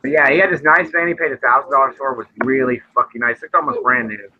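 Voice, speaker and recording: A man's voice: medium pitch, steady tone, fast talker. A forty-year-old man in casual chat, telling a rambling story. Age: 40s. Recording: dull lo-fi sound with faint noise.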